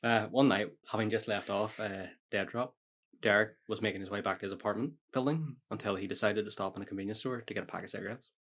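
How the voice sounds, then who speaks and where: A man's voice: lowish voice, fast talker, a bit bright. A man hosting a podcast, reading out a prepared story in a casual way with offhand asides. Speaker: podcast host telling true-or-false stories.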